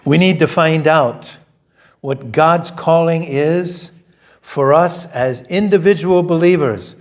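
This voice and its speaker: Loud, high-pitched preaching pastor. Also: male voice, slow pace. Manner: switches between Korean and English, emphatic preaching with rhetorical questions. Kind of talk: bilingual sermon. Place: church sanctuary.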